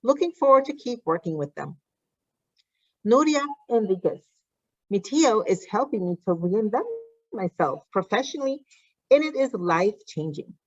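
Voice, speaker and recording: A woman with a mid-pitched voice delivers a formal scripted ceremony narration as an announcer. She reads deliberately and enunciates each phrase. The sound is dry and close, with a slightly dull, lo-fi finish. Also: very animated, dry recording. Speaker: announcer reading out graduates' names and messages.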